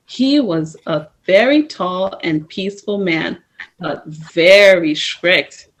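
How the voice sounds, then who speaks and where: A woman reading a first-person story aloud at story time, in a slow, measured way. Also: mid-range voice. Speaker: storytime reader. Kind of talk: reading a picture book aloud.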